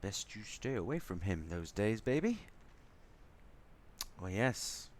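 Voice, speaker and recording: A man's voice: lowish voice, big pitch swings, slow pace, a little hiss or hum. A man playing a sardonic, grudgingly fond spaceship crewman in an audio drama, rambling as he goes. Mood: confiding. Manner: sardonic, rambling, softening into fondness. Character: snarky male spaceship crewman, grudgingly fond, talking to baby.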